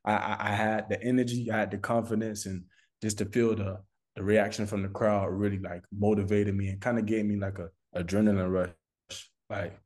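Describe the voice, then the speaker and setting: A man's voice: fairly low pitch, little pitch movement, normal speed, darkish tone. An American man from Florida chatting casually as a music artist in an interview, with slangy, repetitive phrasing. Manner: informal, slangy, repeats phrases for emphasis. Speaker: recording artist being interviewed.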